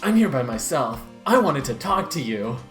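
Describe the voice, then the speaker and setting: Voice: mid-range voice, very animated, moderate pace, a bit bright, echoey room, faint music behind. A performer voicing an earnest, secretive young female outsider in an amateur dramatic reading.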